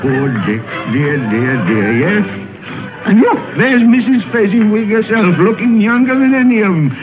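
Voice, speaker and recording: A man's voice: sits high, very animated, moderate pace, phone-quality sound. A man acting an old, emotional, remorseful miser in a theatrical old-time radio drama style, exclaiming and repeating his words. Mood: joyful. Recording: old radio broadcast, thin band-limited, music behind.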